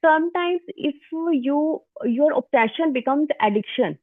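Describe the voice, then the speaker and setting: A woman's voice: high voice, measured pace, dry recording, narrow, tinny audio. A woman with an Indian accent, Hindi her first language, casually explaining common English mistakes over a call. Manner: corrects and explains grammar, lively. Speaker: English-practice YouTuber. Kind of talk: casual conversation practice.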